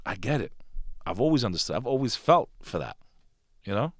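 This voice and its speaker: A man's voice: medium pitch, big pitch swings, fairly quick, no room sound. A man talking as a podcast guest in a studio, intense and emphatic, swearing freely in casual conversation. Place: studio with a green screen.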